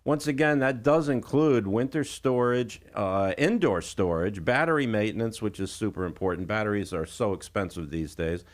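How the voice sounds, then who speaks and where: A man's voice: lowish voice, normal speed, few pauses. A man hosting a radio show, with conversational, emphatic sales patter. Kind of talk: radio hosting and live ad reading.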